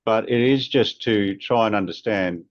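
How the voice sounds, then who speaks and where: A male chief executive answers on an earnings call in a low-pitched voice. The sound is dry and slightly muffled, like band-limited conference audio. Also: moderate pace, no room sound.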